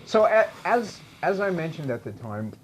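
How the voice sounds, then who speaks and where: A man's voice: on the high side, very animated, normal speed, slight background noise. A man on a casual panel show, telling a story with wry humor. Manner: wry, laughing. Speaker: cigar panelist.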